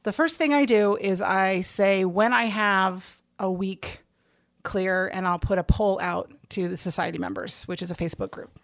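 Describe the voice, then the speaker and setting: A woman's voice: mid-range voice, fairly even intonation, moderate pace, creaky, dry recording, studio quality. A woman chatting casually as an interview guest, enthusiastic and emphatic, repeating herself for stress. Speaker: guest, organizer of a play-reading group; actor. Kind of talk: casual podcast-style interview chat.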